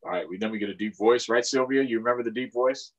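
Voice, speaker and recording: A man's voice: medium pitch, fast, dull audio. A middle-aged man casually chatting to children and families as the host of a storytime on a video call. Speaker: county councilmember hosting children's storytime. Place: small furnished room indoors, on a video call. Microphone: video call microphone.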